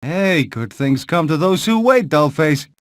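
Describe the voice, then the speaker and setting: Game casting: American male rooster, smooth flirt and show-off, very slow swaggering drawl, dry recording. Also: on the high side, sing-song, no echo. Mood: cocky. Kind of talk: animated video game acting.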